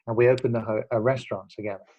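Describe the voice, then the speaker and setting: A man's voice: medium pitch, fairly even intonation, racing, warm tone, low-quality recording. A man in his forties, a guest telling his story earnestly and reflectively in a casual chat. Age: 40s. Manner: earnest, reflective, many fillers and restarts. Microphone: video call.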